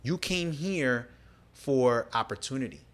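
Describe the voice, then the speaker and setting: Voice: medium pitch, animated, measured pace. A host in a casual chat who agrees readily, uses many short backchannels and drops in Spanish phrases.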